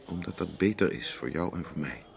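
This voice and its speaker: A weary, deep, gravelly husband. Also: male voice, expressive, a little fast, full character voice. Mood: irritated. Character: weary, curt husband, evasive, patiently placating. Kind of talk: Dutch radio play acting.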